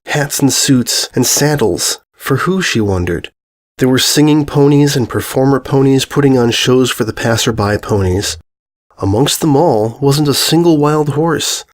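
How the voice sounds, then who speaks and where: A male narrator reads in an expressive storybook style at a middling pitch. His delivery is lyrical and lingers on repeated phrases.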